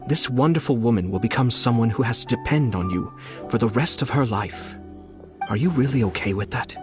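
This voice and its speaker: An actor playing a calm, shrewd young male clan head in a game, speaking in a measured, persuasive way. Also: mid-range voice, over music, close to an everyday voice.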